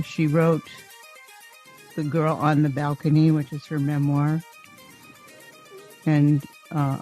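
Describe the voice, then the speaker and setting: Mellow-voiced woman podcast host, faint music. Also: deep voice. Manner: admiring, with frequent restarts and fillers. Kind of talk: guest introduction and interview.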